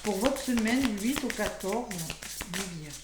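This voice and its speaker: A French woman with a nearly lost voice, speaking softly as she gives a chatty weekly tarot reading for her followers. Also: medium pitch, measured pace. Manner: chatty, thinks aloud while drawing cards. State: losing her voice, talking softly. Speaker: tarot card reader. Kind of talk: weekly astrology card reading. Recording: echoey room with faint hum.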